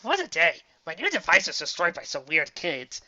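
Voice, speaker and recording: Cartoon inventor: woman as a needy, friendless male schemer, bright tone, lo-fi recording. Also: fairly low pitch, wildly swooping pitch, moderate pace, heavily acted. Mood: wistful. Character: lonely, needy male evil inventor, easily sentimental. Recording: dull lo-fi recording.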